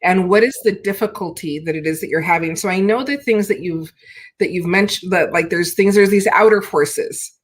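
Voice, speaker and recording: A woman's voice: lowish voice, normal speed, a little hiss or hum. A woman hosting a conversational interview, rephrasing her question several times as she works it out. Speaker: host.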